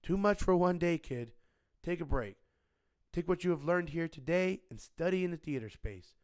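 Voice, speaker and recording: A man acts a patient, knowing male inventor guiding a pupil in a radio drama. His voice is fairly high for a man, with brisk, assured instruction. The sound is dry and close. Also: animated, no echo, natural voice. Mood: kindly firm. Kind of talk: scripted radio drama dialogue.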